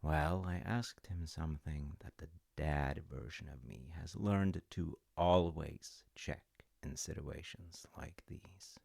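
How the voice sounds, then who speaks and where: A man telling a gentle, rambling story as a comforting dad, with self-mocking humor, close to the mic. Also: extremely low pitch, takes it slowly, gravelly, hardly any pauses, no echo. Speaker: audio roleplay storyteller playing a dad.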